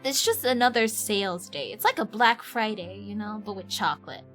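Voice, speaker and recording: A woman livestreaming a game with casual, expressive, giggly chatter, often repeating herself. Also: medium pitch, normal speed. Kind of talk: casual chatty stream commentary. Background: faint game music.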